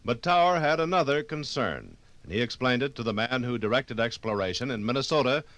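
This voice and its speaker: A man's voice: medium pitch, sing-song, brisk, fairly bright tone, no echo. A man narrating a 1950s radio documentary as a formal storytelling announcer.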